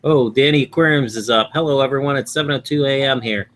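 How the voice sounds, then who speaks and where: A man's voice: medium pitch, quick pace, a bit bright, a little hiss or hum. A man hosting a casual late-night live stream, reading out viewer comments with lots of fillers and asides. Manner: rambling, reads comments aloud with fillers. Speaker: livestream host reading viewer chat. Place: home in Florida.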